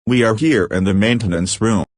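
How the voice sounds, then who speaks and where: A man's voice: fairly low pitch, normal speed, no room sound, clean studio sound, close to an everyday voice. A man playing a helpful leader of a monster-fighting team, speaking short plain lines in an amateur game drama. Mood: alarmed. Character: male leader of a creature-fighting group, helpful.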